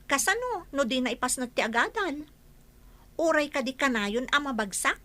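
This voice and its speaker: Haughty sister: young woman, high bright voice, dismissive, dry radio drama sound. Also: lively intonation, normal speed, no echo. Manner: pert, self-assured, dismissive. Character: ambitious, disdainful young older sister.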